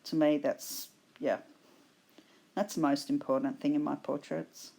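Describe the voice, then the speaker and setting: A woman with an Australian accent, hoarse from a cold, patiently explaining her painting steps in a tutorial voice-over. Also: deep voice, flat delivery, warm tone. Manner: slow, patient explaining with fillers. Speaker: art instructor.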